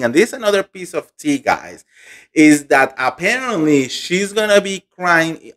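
A man dishes celebrity gossip with animated indignation, repeating words for emphasis. He talks straight into a close studio mic in a room at home. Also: mid-range voice. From Colombia. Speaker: pop culture gossip host. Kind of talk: gossip commentary vlog. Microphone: studio microphone on boom arm.

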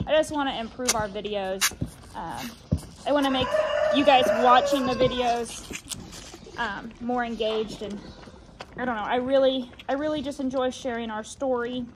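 Young woman farmer, high voice, chatty vlog delivery, outdoor phone mic with farm noise. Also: moderate pace. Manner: chatty, talks to the camera while doing chores. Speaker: farmer and vlogger. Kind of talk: casual vlog narration. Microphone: handheld phone camera.

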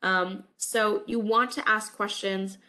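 A woman's voice: mid-range voice, fairly even intonation, takes it slowly, pushed and bright, low-quality recording. A woman in her thirties giving a recorded college English lecture over webcam, stuffy from a cold, friendly and full of "um" fillers. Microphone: webcam over slides.

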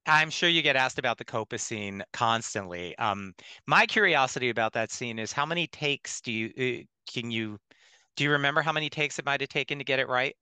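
A man's voice: medium pitch, lively intonation, brisk, sharp tone, clean tone, no echo. A man interviewing a guest over a video call on a headset, asking friendly questions with fillers.

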